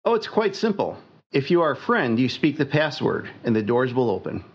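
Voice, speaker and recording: A man's voice: medium pitch, very animated, a little fast, breathy edge. A man hosting a casual movie-quote game, playful and teasing as he reads lines to the players. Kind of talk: casual podcast game chat. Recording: muffled lo-fi audio with faint noise.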